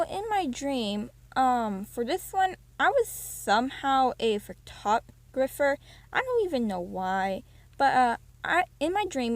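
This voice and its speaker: A woman's voice: high voice, dry recording. A young woman telling a story to her channel casually, rambling and breaking off with fillers. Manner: rambling, self-interrupting with fillers. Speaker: YouTuber.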